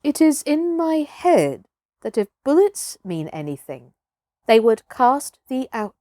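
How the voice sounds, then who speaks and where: A woman voicing a composed, sage wolf with measured speech in a storybook reading. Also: sits high, big pitch swings, dark tone, no echo, clean studio sound, pitched above the performer's own voice, full character voice. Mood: composed, wry.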